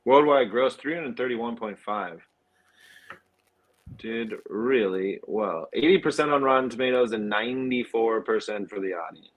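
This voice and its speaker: A man hosting a film podcast in a casual, halting way, thinking aloud as he goes. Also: medium pitch, poor audio.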